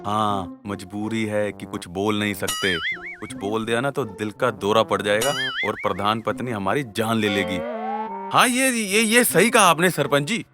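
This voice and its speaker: A man's voice: lowish voice, normal speed, over music, natural voice. A man playing a lovelorn, grumbling village secretary in a comic cartoon, chatty and theatrical. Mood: muttering, placating.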